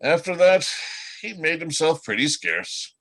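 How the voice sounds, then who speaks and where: A man's voice: fairly high pitch, sing-song, a little slow, fairly bright tone, full character voice. A man reading the part of a wry, world-weary old reindeer telling stories in a radio play. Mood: wry, reminiscing.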